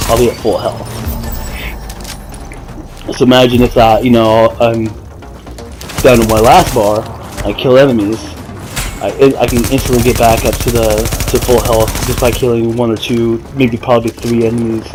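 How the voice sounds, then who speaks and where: A man giving casual gameplay commentary, explaining things in a hesitant, self-correcting way. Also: mid-range voice. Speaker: gaming video maker. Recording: voice over faint game music and gunfire.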